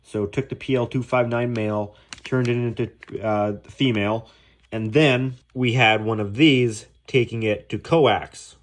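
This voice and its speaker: A man's voice: medium pitch, fairly even intonation, unhurried, a little hiss or hum. A man giving a casual, off-the-cuff explanation in a rambling, candid, self-deprecating way. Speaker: hobbyist video presenter.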